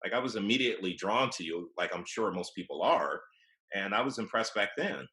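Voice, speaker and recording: Deep-voiced man hosting a podcast. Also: animated, brisk, sharp tone, low-quality recording. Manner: encouraging, brief affirmations. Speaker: podcast host. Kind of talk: casual interview.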